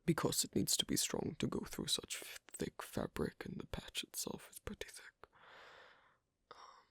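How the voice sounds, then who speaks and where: An actor voicing an asocial, awkward but patient werewolf in an ASMR roleplay, speaking softly in halting, clipped phrases with a breathy, gravelly voice. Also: dry recording, natural voice. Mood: patient, instructing. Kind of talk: ASMR roleplay, soft close speech.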